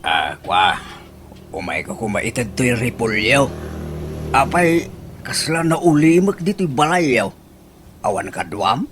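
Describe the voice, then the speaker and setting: A man's voice: medium pitch, big pitch swings, takes it slowly, pauses often, a bit bright, a little hiss or hum, full character voice. An actor playing an easygoing older village man in an Ilocano comedy radio drama, with an Ilocano accent. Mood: casual, sheepish.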